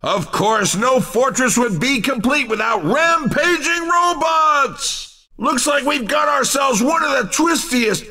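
Frantic, high-pitched male race commentator. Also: pushed and bright, far from the mic, full character voice. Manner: showy, punning, announcer-like hype. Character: excitable, over-the-top male video game race commentator. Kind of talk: shouted video game race commentary.

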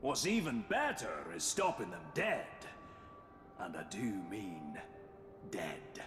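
An actor playing a roguish artifact expert in a video game, narrating in a wry, droll, theatrical way with grisly relish. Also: male voice, on the low side, huge pitch swings, slow, over music, exaggerated voice. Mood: wry showmanship. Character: roguish, wry male artifact expert explaining ancient weapons. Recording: echoey hall with long reverb.

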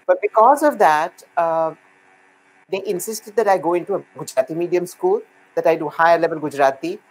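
A woman's voice: lowish voice, brisk, a little mellow, slight background noise, low-quality recording. A middle-aged woman with an Indian accent, a guest speaking confidently and emphatically on a video call. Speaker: guest dancer. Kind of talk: video call panel talk. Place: indoor room. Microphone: laptop webcam on a video call.

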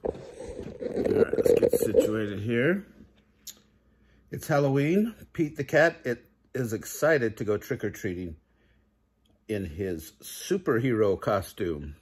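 Male, 50s, husky and unhurried, reads picture books to kids with casual asides. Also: fairly low pitch, animated, darkish tone. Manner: chuckles often, asks the listening children questions. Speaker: grandpa reading picture books aloud for kids. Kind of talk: children's storybook read-aloud with casual asides. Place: living room, indoors.

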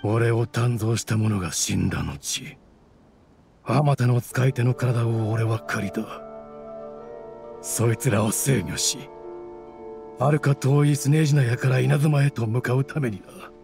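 A man's voice: mid-range voice, measured pace, gravelly, long pauses. A man voicing a proud, bitter spirit of a cursed sword in a Japanese video game, speaking with haughty menace. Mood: sinister, determined. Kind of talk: dramatic Japanese game voice acting. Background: music.

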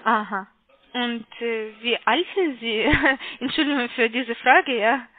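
A woman's voice: a little high, slow pace, slight background noise. A woman call center agent, not a German speaker, asking hesitant, repetitive, polite questions in a phone sales conversation. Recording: muffled, narrow telephone line.

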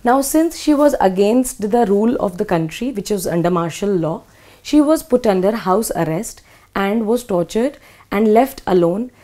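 A woman in her thirties with an Indian English accent, clearly and methodically explaining a school English lesson. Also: medium pitch, lively intonation, moderate pace, dark tone. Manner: clear, methodical, spells words out. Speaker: English teacher. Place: small studio in front of a whiteboard.

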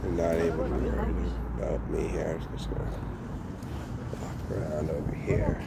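Low gravelly man filming outdoors. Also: level tone, normal speed. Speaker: citizen filming police. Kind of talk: casual running commentary while filming. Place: outdoor parking lot at night. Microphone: phone camera held by the filmer. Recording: noisy outdoor phone recording.

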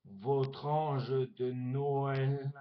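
A man reciting his own poem as an amateur poet, haltingly, phrase by phrase with long pauses. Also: mid-range voice, steady tone. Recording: dull lo-fi amateur recording.

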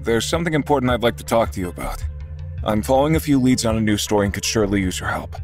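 A man's voice: on the low side, animated, rapid-fire, soft background music, close to an everyday voice. A man playing an earnest, businesslike news reporter leaving a phone message in an audio drama. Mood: urgent.

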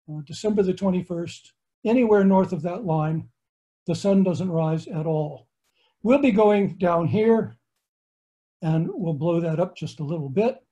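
Older American man lecturing, mellow tone. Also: high-pitched. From United States. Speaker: astronomer and tour lecturer. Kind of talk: online slideshow lecture. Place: home study with bookshelves.

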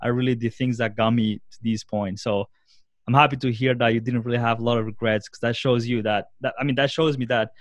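A man's voice: mid-range voice, fairly even intonation, fairly quick, no echo. A young man hosting a casual interview, with hesitant, rambling phrasing. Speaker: podcast host.

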